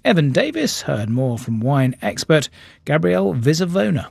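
Male news presenter, husky and creaky, lively intonation, scripted links. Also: fairly high pitch, moderate pace, dry recording, clean studio sound.

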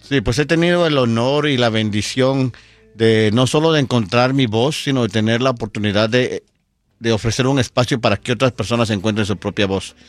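A middle-aged man from Guatemala, telling his story as a radio guest, unhurried with many fillers. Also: medium pitch, no room sound, soft background music. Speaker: storyteller and event producer, guest. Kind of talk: radio interview. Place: radio studio.

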